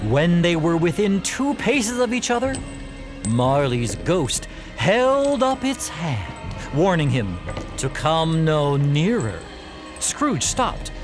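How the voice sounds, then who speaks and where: A man narrating a classic story for an audio drama in a deliberate, expressive, theatrical way. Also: fairly high pitch.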